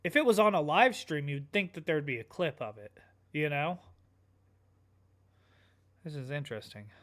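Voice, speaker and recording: A man's voice: on the high side, animated, normal speed, fairly bright tone. A man giving casual, off-the-cuff reactions in a conversational, questioning way. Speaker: reaction commentator.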